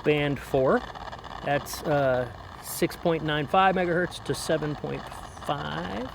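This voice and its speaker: A man with a slightly high voice gives a casual, chatty demonstration outdoors. The recording carries clear background noise. His delivery is offhand, like a homemade product review, with folksy turns of phrase. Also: fairly even intonation, takes it slowly. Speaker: radio reviewer, vlogger. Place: outdoors in light rain.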